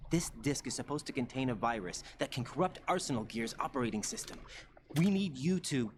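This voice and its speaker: A man's voice: medium pitch, expressive, fairly quick, lightly acted. Man as earnest young agent, faint noisy ambience. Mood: dutiful. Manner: earnest, questioning. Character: earnest young male special forces agent. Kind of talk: scripted video game cutscene acting.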